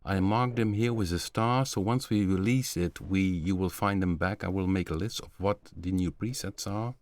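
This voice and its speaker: A middle-aged man hosting a casual live stream from a home studio, showing synth sounds in a relaxed, halting way with many fillers. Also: low-pitched. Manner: relaxed, halting, many fillers, slightly non-native phrasing.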